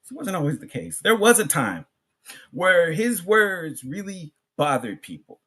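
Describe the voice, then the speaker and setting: A man giving an Islamic sermon as a public address, speaking emphatically in short phrases with pauses, repetition and rhetorical questions. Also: high voice, big pitch swings, pushed and bright, breathy edge. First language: English. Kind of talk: sermon, public religious lecture.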